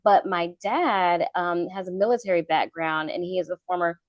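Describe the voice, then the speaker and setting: A woman's voice: on the low side, moderate pace, no room sound, lo-fi sound. Woman, 30s, guest, reflective storytelling, dry recording. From Charlotte, North Carolina. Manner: reflective, storytelling with many fillers. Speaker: guest, government contracting consultant. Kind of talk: casual interview talk.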